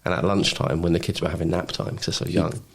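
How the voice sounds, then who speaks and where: Very deep, gravelly-voiced man telling stories. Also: quick pace. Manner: informal, blokey, says mate, man and bro. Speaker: podcast guest, former Royal Marine. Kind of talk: casual anecdotal storytelling.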